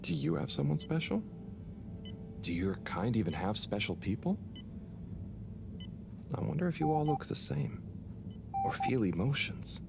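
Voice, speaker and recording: A timid, earnest young helper. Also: male voice, medium pitch, sing-song, a little slow, vocal fry, pauses often, noisy background. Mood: gentle. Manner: nervous, apologetic, rambling. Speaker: lab helper. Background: game music.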